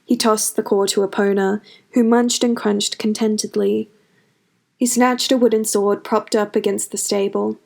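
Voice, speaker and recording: A woman reading scripted fiction as an expressive storyteller, with a medium-pitched voice. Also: normal speed, vocal fry. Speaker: narrator. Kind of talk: scripted fan fiction narration.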